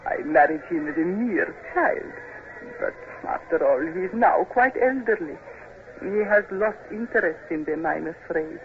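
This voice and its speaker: Coy young countess. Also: female voice, fairly low pitch, expressive, normal speed. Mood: coy, wistful. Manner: genteel, coy. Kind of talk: 1950s radio drama acting. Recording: dull, noisy 1950s radio recording.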